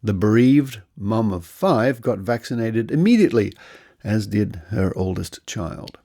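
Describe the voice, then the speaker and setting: A man presents a podcast in a low voice with plenty of gravelly fry. He comments on news items and reads out quotes, dropping in conversational asides as he goes. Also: lively intonation, unhurried, mellow. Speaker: podcast host.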